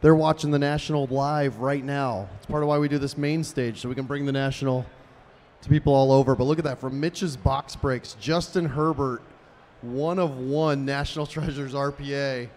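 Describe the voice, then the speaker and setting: A man's voice: mid-range voice, fairly even intonation, normal speed, a little mellow. A young man hosting a live stage at a big convention hall, talking to the crowd in an upbeat, chatty way on a handheld mic. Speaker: stage host.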